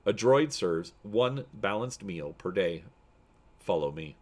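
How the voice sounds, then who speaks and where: A man's voice: on the low side, lively intonation, slow pace, a little mellow. A man playing an officious, droning imperial officer giving a formal guided tour in a science fiction story.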